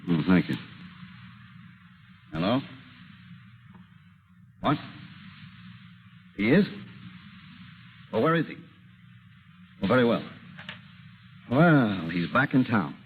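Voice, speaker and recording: An actor playing a fussy, harried middle-aged lawyer in a radio drama, with a hesitant, hemming delivery. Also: male voice, on the low side, big pitch swings, slow pace, long pauses. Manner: hesitant, hemming, throat-clearing. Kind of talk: 1930s radio drama acting. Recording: tinny, noisy vintage radio recording.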